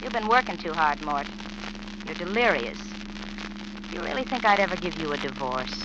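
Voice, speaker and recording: An actress playing a mean, sharp-tongued young American wife in a 1940s radio drama, on a dull, noisy old recording. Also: female voice, low voice, animated, moderate pace. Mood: accusing, sneering.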